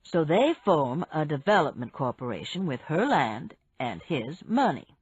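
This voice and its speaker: Lower-voiced, unhurried man, patient explainer, read by a woman, lo-fi. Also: very animated, slightly rough, no room sound, heavily acted. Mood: explaining. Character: calm middle-aged man explaining business. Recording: dull, dry lo-fi recording.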